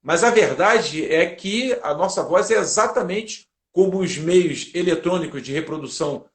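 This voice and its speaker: Higher-voiced Brazilian man, didactic. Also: expressive, moderate pace. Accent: Brazilian Portuguese. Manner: didactic, methodical, repeats points in lists. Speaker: guest, public speaking teacher and lawyer. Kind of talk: explanatory interview answers. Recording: roomy, echoing sound.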